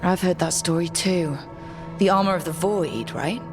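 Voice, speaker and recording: A woman playing a wry, quick-witted investigator companion, conversational, in fantasy game dialogue. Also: lowish voice, music in the background, close to an everyday voice.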